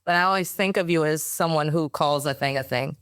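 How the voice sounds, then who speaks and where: A woman's voice: deep voice, brisk, a bit bright, clean tone, no echo, clean studio sound. A woman hosting a podcast interview, supportive and affirming as she frames her questions.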